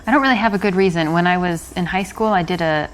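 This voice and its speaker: A woman's voice: on the low side, fast, a little hiss or hum, close to an everyday voice, rough recording. A woman with an American accent playing a polite, reserved young student in natural conversation. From United States.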